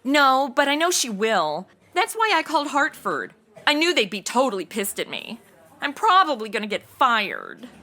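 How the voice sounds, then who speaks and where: Bubbly young female novice seller, higher-pitched, extremely swooping delivery, slangy, flippant, audio comedy. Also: wildly swooping pitch, normal speed, bright, forceful tone, full character voice. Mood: breezy, candid. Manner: flippant Valley-girl slangy chatter. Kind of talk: comedy audio drama acting.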